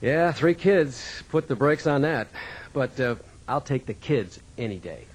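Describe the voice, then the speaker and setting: Animated, folksy young American farmer. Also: male voice, mid-range voice, measured pace, low-quality recording. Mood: casual, dismissive. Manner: folksy, conversational. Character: easygoing young farmer and father, dismissive of religion. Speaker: narrator and lead in radio dramatization. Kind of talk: scripted first-person radio drama narration and dialogue.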